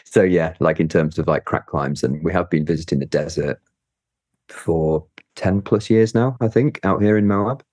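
A man's voice: low voice, moderate pace, a little mellow, no echo, studio quality. A man being interviewed as a guest, chatty and hesitant, with lots of fillers and easy laughs. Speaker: guest climber. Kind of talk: casual interview chat.

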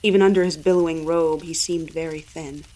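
A woman's voice: low voice, fairly even intonation, brisk, a little mellow. A woman reading a first-person horror story as a dramatic narrator.

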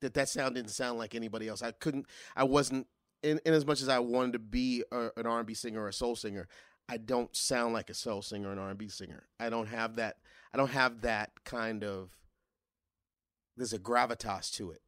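A middle-aged man speaks in a relaxed interview, thinking aloud and stumbling over restarts before landing his point. His voice sits in an ordinary middle register. The sound is close and dry, with no room around it. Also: medium pitch, no room sound. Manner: halting, restarts often, animated when recalling singing. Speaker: guest singer. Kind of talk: casual interview conversation.

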